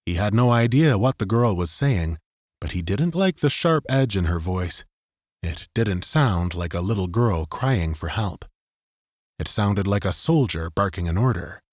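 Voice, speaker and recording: A man's voice: deep voice, expressive, unhurried, darkish tone, no room sound, studio quality. A man narrating dramatic fiction as a scripted audiobook.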